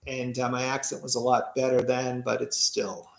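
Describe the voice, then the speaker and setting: A man's voice: mid-range voice, little pitch movement, normal speed, vocal fry. A man from Massachusetts with a Boston accent, reading out viewers' questions and answering them off the cuff in a tired, casual way. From Massachusetts, USA. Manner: reads questions aloud and answers off the cuff, with fillers and restarts. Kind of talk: casual live-stream Q&A. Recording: echoey room.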